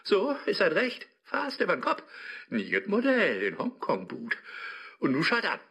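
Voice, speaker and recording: An actor playing a grumpy, sly devil living in a phone app, speaking rhymed radio play lines with a Low German accent and theatrical sarcasm. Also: male voice, huge pitch swings, measured pace, gravelly, pushed and bright, breathy edge, full character voice. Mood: sly, servile. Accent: Low German, northern Germany. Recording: music behind the voice.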